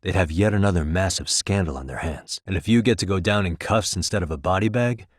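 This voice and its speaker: A man playing a shrewd, level-headed private detective in his thirties for a video game, speaking in a measured, matter-of-fact, determined way. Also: low voice, no room sound, clean studio sound. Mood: serious, determined. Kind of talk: English video game voice acting.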